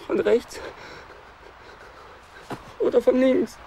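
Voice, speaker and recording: An actor playing an exhausted, lost wanderer in a radio drama, brooding and halting as he talks to himself. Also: extremely high pitch, fairly even intonation, gravelly, warm tone, slight background noise, exaggerated voice. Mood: weary, strained. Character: exhausted lost male wanderer trudging through bad weather. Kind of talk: radio drama interior monologue.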